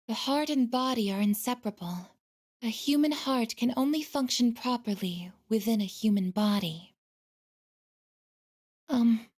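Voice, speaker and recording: A woman playing a gentle, earnest android girl in a video game, speaking politely and formally with soft introspection. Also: a little high. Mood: calm, reflective, then flustered, curious.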